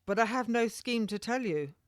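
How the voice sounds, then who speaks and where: Woman playing a defiant accused gentlewoman, raised pitch. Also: animated, normal speed, dry recording, clean studio sound, close to an everyday voice. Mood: indignant. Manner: indignant, formal archaic speech.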